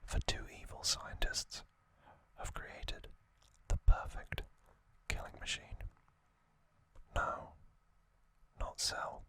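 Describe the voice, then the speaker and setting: A man whispering softly in a breathy, deliberate ASMR narration, speaking in short phrases with pauses. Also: poor audio. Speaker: host of an ASMR channel. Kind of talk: whispered ASMR trivia narration and reading aloud.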